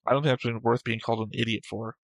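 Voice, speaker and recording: A man's voice: medium pitch, fairly even intonation, racing, no room sound. A man co-hosting an anime show in casual, opinionated discussion, argumentative and emphatic, swearing freely. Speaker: co-host of an anime reaction show.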